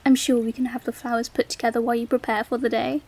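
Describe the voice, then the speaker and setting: Woman playing a high-voiced, gracious queen. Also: flat delivery, fast talker, darkish tone. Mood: delighted, brisk. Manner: refined, formal, affectionate. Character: gracious, eager queen who loves hosting. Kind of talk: scripted romantic audio drama acting.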